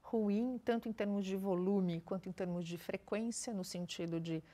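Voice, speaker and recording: A woman speaks at a steady, measured pace, explaining voice care as a speech therapist. She keeps to plain, practical examples and a calm teaching tone. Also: mid-range voice. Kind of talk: explanatory interview answers.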